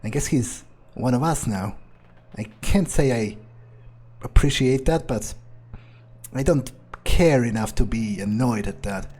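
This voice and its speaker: A man role-playing an arrogant, disdainful vampire in a gameplay video, musing in a husky voice with halting, foul-mouthed phrasing. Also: mid-range voice, darkish tone. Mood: indifferent. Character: arrogant, contemptuous male vampire musing to himself. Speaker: gamer role-playing in character. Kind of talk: in-character gameplay monologue, improvised. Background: music.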